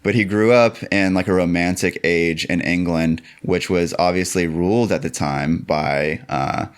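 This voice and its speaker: A man's voice: deep voice, fairly even intonation, unhurried, clear, resonant tone. A man talking as a podcast guest in a casual, rambling, philosophical way, often dropping in "like" and "you know". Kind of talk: casual conversational musing.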